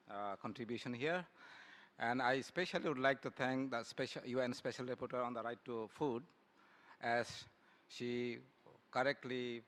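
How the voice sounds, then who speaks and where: A man with a Bangladeshi accent reads a prepared formal statement as a spokesperson at a conference plenary, moving haltingly from phrase to phrase. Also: medium pitch, a bit bright. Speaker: civil society spokesperson. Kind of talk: formal prepared speech read aloud at a plenary.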